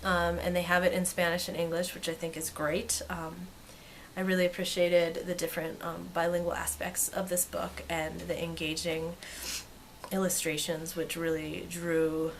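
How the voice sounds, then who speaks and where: A woman presenting a bilingual picture-book read-aloud with casual commentary, expressive when reading and softer when commenting. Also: lowish voice, normal speed, slight background noise.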